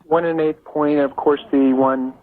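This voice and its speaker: American guy phoning a radio show, kinda high voice, polite n hesitant, dry tinny phone sound. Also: level tone, a little slow, no echo. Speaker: caller. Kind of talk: casual phone-in chat.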